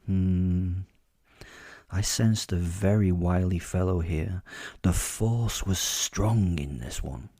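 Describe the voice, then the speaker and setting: A man with a low, dark voice narrates a horror audio drama as a wry werewolf telling his own life. His delivery is measured, with dramatic pauses and a boastful edge. The sound is very dry and close. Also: no echo. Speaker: narrator and host of a fiction podcast. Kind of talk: first-person dramatic audio story narration.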